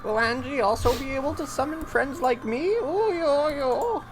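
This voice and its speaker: Tiny cheery lion plush, squealing, very high, bouncy sing-song, game reading. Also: male voice, lively intonation, moderate pace, background noise.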